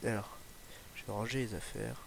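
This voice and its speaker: A man's voice: fairly low pitch, little pitch movement, moderate pace, vocal fry. Rambling young man commenting on gameplay. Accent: slight Ardèche accent. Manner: rambling, hesitant, lots of fillers and restarts. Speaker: gaming YouTuber. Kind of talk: casual Let's Play commentary. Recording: noisy home mic recording.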